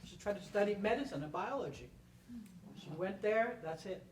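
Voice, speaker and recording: A woman's voice: mid-range voice, animated, moderate pace, a little mellow. An older woman telling stories conversationally to an audience, emphatic, repeating phrases and checking in with "okay?". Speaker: panel speaker and performer. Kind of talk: animated conversational storytelling to an audience. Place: indoor room at a public library event. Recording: roomy, distant mic, faint noise.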